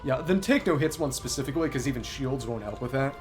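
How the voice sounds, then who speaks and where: A man's voice: medium pitch, lively intonation, fast. A man doing live gameplay commentary as a streamer, talking analytically through tactics to himself. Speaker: game streamer. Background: music behind the voice.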